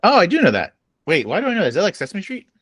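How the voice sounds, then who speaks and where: A man's voice: high-pitched, huge pitch swings, very fast, a bit bright, breathy edge, no room sound, clean studio sound. A man chatting casually and informally as a co-host on a book podcast. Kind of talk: casual chat.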